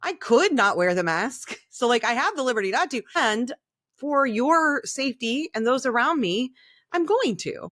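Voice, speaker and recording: A woman's voice: high voice, lively intonation, normal speed, fairly bright tone. A woman co-hosting a podcast, talking casually and candidly, giving opinions and jumping in with remarks. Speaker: podcast co-host. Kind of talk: casual conversational podcast chat.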